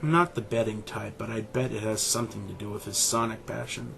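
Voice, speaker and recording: A man playing an arrogant, condescending middle-aged animal scientist and father in a scripted audio drama, speaking in a pompous, deliberate way. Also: lowish voice, fairly even intonation, creaky, background noise. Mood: detached, proud.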